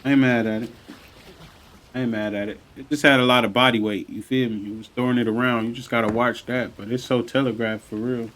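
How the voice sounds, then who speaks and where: A man's voice: medium pitch, steady tone, fairly quick, fairly bright tone, a little hiss or hum. A man giving casual, laid-back gameplay commentary with plenty of slang and swearing. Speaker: gamer commenting on playthrough. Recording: game music behind voice.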